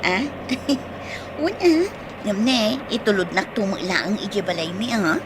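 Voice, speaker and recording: A woman's voice: mid-range voice, expressive, a little slow, background noise, lightly acted. A woman acting in a radio drama, playing a friendly, coy single schoolteacher.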